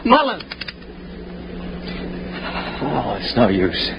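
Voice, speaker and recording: An actor playing an urgent, commanding leader of spaceship prisoners, emphatic and intense, in the style of 1950s radio drama. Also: male voice, mid-range voice, wildly swooping pitch, drawn-out words, vocal fry, exaggerated voice. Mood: tense, urgent. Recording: muffled, noisy 1950s radio recording.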